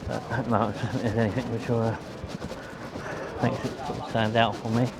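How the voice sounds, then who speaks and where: A man with a London accent giving casual, rambling, low-key commentary as he films a walk outdoors. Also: level tone, brisk, gravelly. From London, England. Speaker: amateur photographer, vlogger. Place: outdoors on a riverside walkway. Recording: outdoor city noise behind voice.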